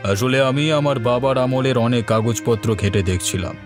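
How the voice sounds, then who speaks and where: Guy doing a middle aged village landlord for a cartoon, smooth talker, patronising, quietly menacing, music under it. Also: mid-range voice, a little fast, natural voice. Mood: smoothly threatening. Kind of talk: Bengali cartoon story voice acting. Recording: music behind the voice.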